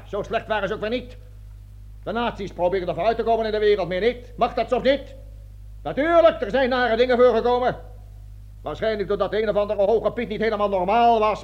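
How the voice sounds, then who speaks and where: A dull, lo-fi radio play recording of a pompous colonel. His voice sits high and swings widely as he orates, barks orders and drops into hushed asides. He is a smooth-talking opportunist, grandiloquent and excitable.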